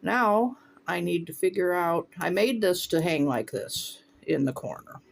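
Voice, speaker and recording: A man's voice: high voice, a little slow, vocal fry, a little hiss or hum. A man from Northwest Ohio, casually explaining stained glass steps as he works in his home studio and thinking aloud between tasks. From Northwest Ohio, USA. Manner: relaxed, talks while working, thinks aloud. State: runny nose, voice briefly faltering.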